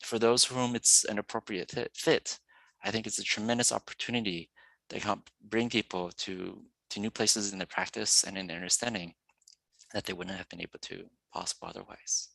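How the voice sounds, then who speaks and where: A man giving an earnest, measured reflection as a graduating student, thanking his listeners. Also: fairly low pitch, vocal fry, bright, forceful tone, dry recording, rough recording. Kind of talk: reflective speech.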